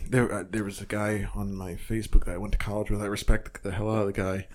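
Sarcastic low-voiced podcast man. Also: level tone, fairly quick. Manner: sarcastic, profane, drawling. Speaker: podcast co-host. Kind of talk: casual crude banter.

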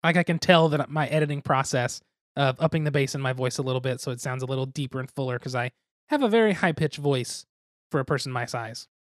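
A man's voice: medium pitch, fast. A young man co-hosting a board game podcast, talking casually in a rambling, self-deprecating, jokey way. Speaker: podcast co-host.

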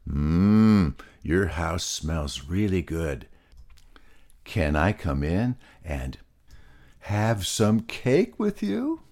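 A man voicing a gentle, absent-minded male pig who talks in a mild, wondering way. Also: deep voice, big pitch swings, very slow, full character voice. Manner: gentle, dreamy.

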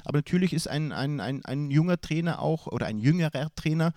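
A man's voice: fairly high pitch, expressive, a little fast, darkish tone, dry recording, studio quality. A man with a cold, answering interview questions about his club's coach hesitantly, with many fillers and restarts. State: has a cold. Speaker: football club sporting director.

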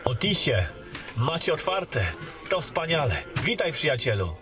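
A man playing a calm, weary warrior. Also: on the high side, a little slow, over music. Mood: friendly. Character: weary male warrior back from a mission. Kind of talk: fantasy audio drama acting.

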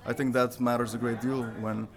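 A male violinist answers an interviewer thoughtfully, often restarting phrases. His voice sits at a middle pitch. A busy crowd chatters loudly around him. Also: little pitch movement, brisk. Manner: thoughtful, repeats himself. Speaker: violinist in a band. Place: music venue.

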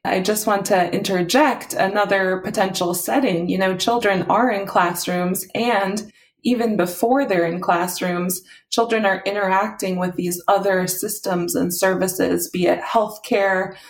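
A woman, a health policy advisor guesting on a podcast, explaining her points in a steady, methodical way. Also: mid-range voice, unhurried.

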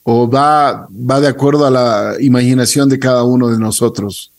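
A man's voice: medium pitch, fairly even intonation, moderate pace. Male interview host, conversational delivery, mid-range voice.

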